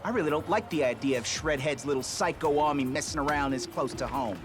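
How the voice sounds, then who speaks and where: A man's voice: fairly high pitch, a little fast, natural voice. A man voicing a tough, sarcastic, hot-headed teenage mutant turtle in a video game, sounding cocky and sardonic. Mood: wry. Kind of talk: animated video game voice acting. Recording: music behind the voice.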